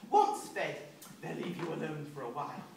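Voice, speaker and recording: A man voicing a calm, cheerful aunt in a light, breezy falsetto, heard from a distant mic in an echoey, noisy hall. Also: moderate pace, lightly acted. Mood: reassuring.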